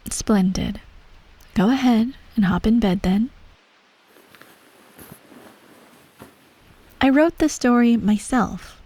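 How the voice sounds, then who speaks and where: A woman playing an imperious, self-righteous empress who dotes on her child, speaking softly and formally in a bedtime ASMR roleplay. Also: mid-range voice, husky, dry recording. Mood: fond, composed. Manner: soft, measured, formal, gently condescending. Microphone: close, dry microphone.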